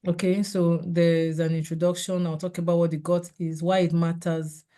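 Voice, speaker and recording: A woman with a cold, hoarse and clearing her throat now and then, giving a measured slide-based health seminar over a video call. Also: lowish voice, level tone, dark tone. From Nigeria. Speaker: seminar speaker. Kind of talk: online health talk with slides.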